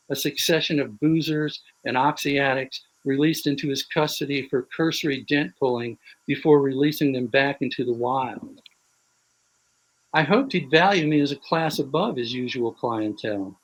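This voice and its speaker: Man reading his fiction aloud, measured. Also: fairly high pitch, low-quality recording. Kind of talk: prose reading.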